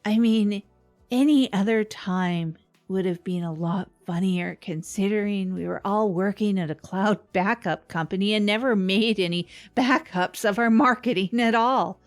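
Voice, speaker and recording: A woman's voice: medium pitch, unhurried, faint music behind, natural voice. A woman playing a self-deprecating radio host who rambles wryly through a scripted comedic confession. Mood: exasperated, wry. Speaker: fictional radio host and narrator.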